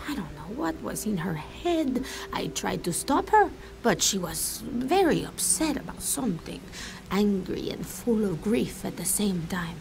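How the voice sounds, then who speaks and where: An outspoken village herbalist in a video game, sharp but caring. Her mid-range voice is forthright and dignified, over faint ambient noise. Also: medium pitch, sing-song, a little slow, vocal fry, poor audio. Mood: concerned. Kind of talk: video game character dialogue.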